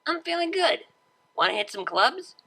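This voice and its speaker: A woman's voice: high-pitched, big pitch swings, normal speed, vocal fry, pushed and bright, pitched above the performer's own voice, full character voice. A woman voicing a brash, laid-back young unicorn mare DJ in a pony story, with a casual, slangy, offhand manner. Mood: cheeky, teasing.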